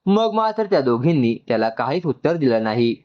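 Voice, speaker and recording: A man's voice: mid-range voice, big pitch swings, fairly quick, muffled recording. A man narrates a scripted story and acts out its dialogue.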